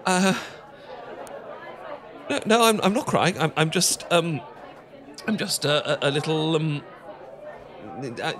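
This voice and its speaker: A man acting a stammering, bashful young British professor, higher-pitched. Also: a bit bright, some chatter behind. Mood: moved, flustered. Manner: stammering, halting, gushing, old-fashioned polite. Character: bashful, flustered young male English professor, smitten and tipsy. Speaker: audio roleplay performer. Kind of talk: romantic ASMR roleplay acting.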